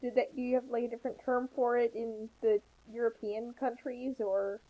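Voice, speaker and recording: A woman's voice: on the high side, little pitch movement, moderate pace. A woman co-hosting a casual, wry commentary, heard through a dull, muffled connection. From United States. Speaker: co-host of a commentary.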